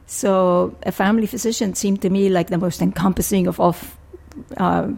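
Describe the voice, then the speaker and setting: An older woman from Rico, a family physician answering interview questions in a measured, thoughtful way. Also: medium pitch, steady tone, vocal fry, darkish tone. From Puerto Rico. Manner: measured, thoughtful, with frequent restarts.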